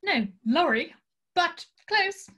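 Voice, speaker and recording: A woman's voice: very high voice, animated, slow, a bit bright, heavily acted. A woman acting an eccentric, grandiose tarot reader in a comic stage scene, with pompous mystical pronouncements. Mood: grandly mysterious.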